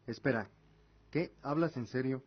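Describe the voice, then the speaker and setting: A man's voice: mid-range voice, moderate pace, slightly rough, lo-fi sound, close to an everyday voice. An actor playing a respectful, earnest young heir and officer, loyal to his father, in a fantasy radio drama. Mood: surprised.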